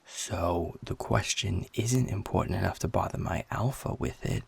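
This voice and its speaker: A man playing a guarded, sarcastic, defiant werewolf in an intimate audio roleplay, talking bluntly with a husky, gravelly voice. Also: deep voice, moderate pace, darkish tone, heavily acted. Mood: angry, blunt. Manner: sardonic, blunt, swearing, confrontational.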